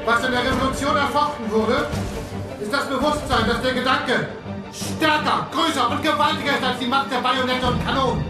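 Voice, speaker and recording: A woman's voice: high voice, fairly even intonation, fairly quick, close to an everyday voice. A woman playing an impassioned nineteenth-century political orator in a docudrama, declaiming with emphasis. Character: impassioned 1848 political orator pleading against bloodshed. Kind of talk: docudrama speech acting. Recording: echoing, distant, loud music over voice.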